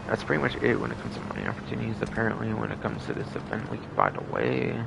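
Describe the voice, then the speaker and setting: A man with a gravelly voice doing rambling livestream commentary on a video game, repeating his phrases over and over. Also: lowish voice, wildly swooping pitch, fast talker. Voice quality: gravelly, creaky voice. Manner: frequent throat clearing. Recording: noticeable background noise.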